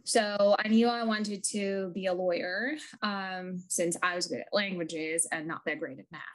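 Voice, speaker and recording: A woman's voice: on the low side, fairly even intonation, a little slow. A woman from Ukraine with a Ukrainian accent, a lawyer telling her story in a conversational interview, thoughtful with frequent ums.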